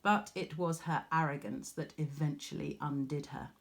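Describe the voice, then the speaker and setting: A woman reading a storybook aloud like a teacher, expressive, with dramatic pauses. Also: deep voice, vocal fry.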